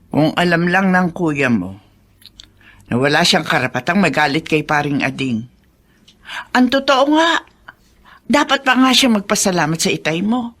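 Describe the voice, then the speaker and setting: A woman's voice: sits low, fairly bright tone, exaggerated voice. A woman playing an elderly, earnest, gossipy family friend in a radio drama, speaking frankly. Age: older.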